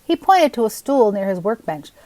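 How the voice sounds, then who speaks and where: An older woman reads a children's story aloud. Her narration is expressive, at a medium pitch. The recording is dry, with little room sound. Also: moderate pace, no echo. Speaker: storybook reader.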